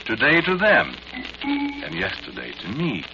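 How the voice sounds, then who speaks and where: A man acting a doomed inventor in an old radio horror drama, speaking to the listener in an intimate, pleading, halting way. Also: on the low side, huge pitch swings. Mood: brooding, confiding. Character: doomed male inventor of a time machine, haunted, pleading. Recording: muffled old radio recording with music.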